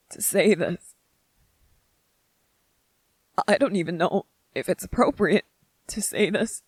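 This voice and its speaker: Anxious, earnest young man, played by a woman, slow and halting, close dry sound, ASMR audio drama. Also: mid-range voice, slightly rough, dry recording. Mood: shy, tender. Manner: halting, stammering, repeating phrases. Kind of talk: ASMR roleplay audio drama, spoken to the listener.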